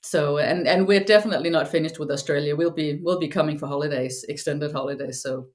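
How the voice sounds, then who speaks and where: Danish woman, lowish voice, halting. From Denmark. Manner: halting, frequent restarts and repeated words. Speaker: guest. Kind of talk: casual chat.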